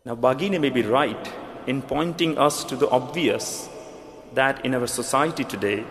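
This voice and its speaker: A man with a thick Indian accent preaching an earnest, measured sermon, now and then restarting words. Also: medium pitch. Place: large church hall. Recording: echoey church hall with long reverb.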